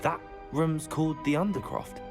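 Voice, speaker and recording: An actor playing a calm new student wizard in a game, speaking in a measured, polite, reserved manner. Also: male voice, fairly high pitch, over music, close to an everyday voice.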